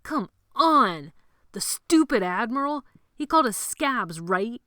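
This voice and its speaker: A woman voicing a brash, bossy teenage boy who leads a gang of boys, loud and rallying in an audiobook. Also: medium pitch, lively intonation, measured pace, no echo, studio quality. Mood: defiant. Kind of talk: audiobook character voice.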